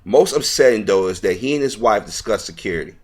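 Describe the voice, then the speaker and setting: A man's voice: on the low side, animated, fast talker, husky. A man reading news aloud as an online commentator, stumbling over words here and there and speaking in an earnest, opinionated way.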